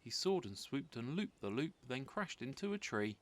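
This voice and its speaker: A man reading a rhyming children's story aloud as an expressive narrator. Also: medium pitch, moderate pace. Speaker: storybook reader.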